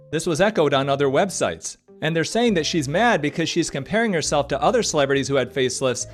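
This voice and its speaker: Brisk man explaining with rhetorical questions. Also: a little high. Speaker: cosmetic surgeon commentator. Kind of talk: scripted video commentary.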